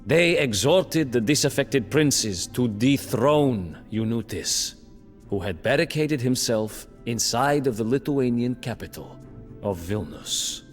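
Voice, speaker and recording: A man's voice: medium pitch, expressive. Proud, bitter captive nobleman, cavernous reverb. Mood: grave, proud. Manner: haughty, theatrical, deliberate. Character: bitter, proud imprisoned Lithuanian nobleman recounting his downfall. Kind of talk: dramatic storytelling narration for a strategy game cutscene. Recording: long reverberant hall, music underneath.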